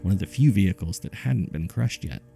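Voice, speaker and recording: A man with a gravelly, husky voice reading scripted horror case files in a measured, subdued way, as narrator and host. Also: sits low, lively intonation, music in the background.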